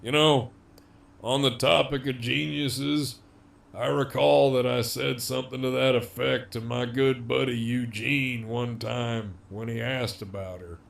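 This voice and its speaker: A man's voice: medium pitch, fairly even intonation, unhurried, gravelly. A man playing a cocky, menacing, wryly amused imprisoned gang leader in an audio drama, with a swaggering, foul-mouthed drawl. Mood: cocky, teasing.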